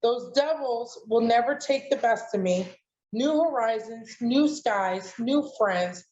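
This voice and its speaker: A woman's voice: fairly high pitch, expressive, slow, a little mellow, a little hiss or hum. A woman reciting her own poem in an emphatic, declamatory spoken word manner. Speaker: poet, showcase participant. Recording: roomy, dull lo-fi online audio.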